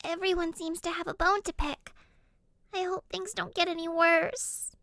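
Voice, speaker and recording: A woman's voice: extremely high pitch, little pitch movement, normal speed, a bit bright. A woman playing an earnest, worried young peacemaker in an anime game fandub.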